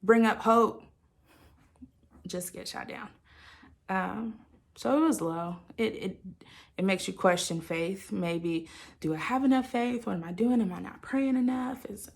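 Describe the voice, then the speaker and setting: A woman sharing a personal story with listeners in a candid, halting, reflective way, often qualifying what she says and voicing her own thoughts expressively. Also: mid-range voice, vocal fry. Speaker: host of a personal channel.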